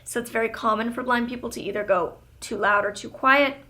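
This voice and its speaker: A young woman talks casually to her camera, projecting her voice like a practiced speaker and filling her sentences with "like." Her voice sits a bit high and rings bright and forceful. The telling stays loud and chatty throughout. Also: steady tone, a little fast. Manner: chatty, many filler "like"s. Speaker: vlogger and motivational speaker.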